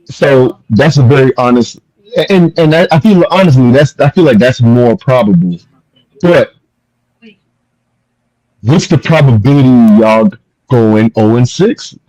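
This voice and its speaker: A man's voice: mid-range voice, animated, measured pace, pauses often, mellow. A man hosting a sports chat show, talking in a middle register with a dry, close sound and no room echo. He needles his guests and repeats his point until it sticks. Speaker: podcast host. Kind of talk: casual sports debate.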